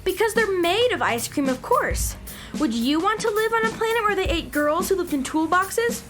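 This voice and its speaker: A woman's voice: extremely high pitch, animated, normal speed, over music. A woman playing a cheeky, self-satisfied little schoolgirl in a children's radio drama, pert and matter-of-fact. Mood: smug.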